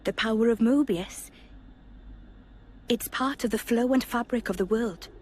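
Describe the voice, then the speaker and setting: An actress playing a calm queen in a video game, speaking in a measured, solemn and formal way. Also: on the high side, slight background noise, close to an everyday voice. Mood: grave. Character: calm regal queen, adult woman.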